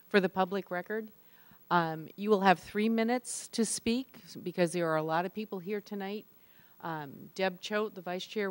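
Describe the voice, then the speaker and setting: A woman's voice: medium pitch, dry recording. A woman chairing a public meeting, giving polite, orderly procedural instructions.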